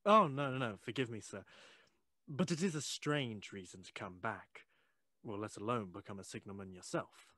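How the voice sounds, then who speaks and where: A man's voice: lowish voice, moderate pace, bright, forceful tone, dry recording, studio quality. An actor playing a polite, concerned railway man in a period audio drama, deferential and doubting. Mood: polite, puzzled.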